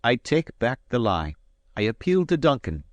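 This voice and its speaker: A man reading aloud and voicing a proud, hot-tempered Highland swordsman, touchy and boastful. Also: very animated, dry recording, highs cut off, natural voice. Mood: haughty. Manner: touchy, boastful, quick to anger.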